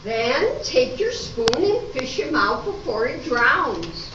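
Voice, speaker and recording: A woman's voice: sits high, big pitch swings, takes it slowly, exaggerated voice. A woman playing a fussy diner who complains about her food, with theatrical delivery, in an amateur radio comedy sketch. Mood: puzzled, complaining. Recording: roomy hall, distant mic, dull, noisy.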